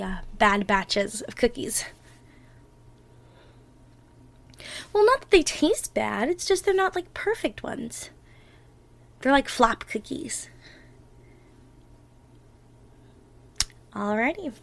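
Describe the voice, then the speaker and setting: High-voiced, chatty young girlfriend played by a woman. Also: lively intonation, a little slow, gravelly. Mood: playfully bossy. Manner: chatty, playful, gently bossy, thinking aloud. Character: bubbly, bossy, affectionate young girlfriend baking for Thanksgiving. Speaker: roleplay audio performer. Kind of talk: girlfriend ASMR roleplay, one-sided conversational acting.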